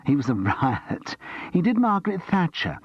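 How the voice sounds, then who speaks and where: A man's voice: a little high, sing-song, normal speed, breathy edge, no echo, lo-fi sound, pitched above the performer's own voice, exaggerated voice. Man putting on a gushing gossipy woman. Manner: gushing, sharp edge. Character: chatty middle-aged woman, gossipy, barbed.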